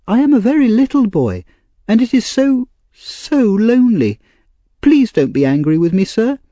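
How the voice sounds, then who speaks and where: A timid little orphan boy, acted by a man, pitched far up from an adult voice. He is meek, polite and innocent, pleading softly as in a storybook, with a very dry, close sound. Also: very animated, moderate pace, no echo. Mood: tearful pleading. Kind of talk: audiobook character voice.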